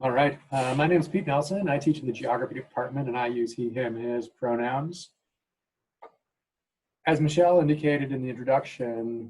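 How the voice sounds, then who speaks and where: A man who teaches geography, speaking in a measured way on a video-call webinar panel. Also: mid-range voice, darkish tone, husky, rough recording. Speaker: geography professor.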